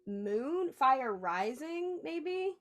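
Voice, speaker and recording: A woman hosting a casual podcast interview, asking questions and backing up her guest with quick affirmations. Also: high voice, big pitch swings. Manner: supportive, frequent affirmations. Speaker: podcast host.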